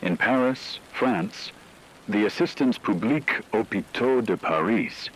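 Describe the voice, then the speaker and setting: A man playing a grave, formal radio news anchor, reading an emergency broadcast in a measured, authoritative way. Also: fairly low pitch, animated, slight background noise, rough recording. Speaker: news announcer.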